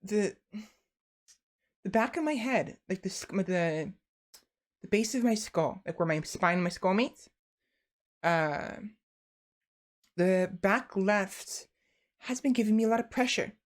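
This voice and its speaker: A woman's voice: medium pitch, animated, slow pace, pauses often. A tired young woman streamer chatting casually and rambling to her viewers, with lots of restarts and fillers.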